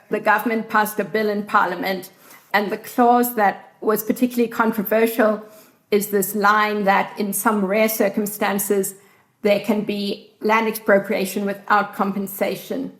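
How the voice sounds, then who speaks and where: South African woman explaining, echoey room. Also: mid-range voice. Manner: measured, informative, steady. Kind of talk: explanatory interview answers.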